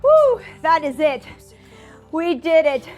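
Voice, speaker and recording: A woman's voice: very high voice, very animated, slow, darkish tone, dull audio, soft background music. A woman working as a fitness instructor, counting and cueing exercises in a chatty, encouraging way with self-deprecating asides, her nose a little stuffed up. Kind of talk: workout coaching, counting and cueing to camera.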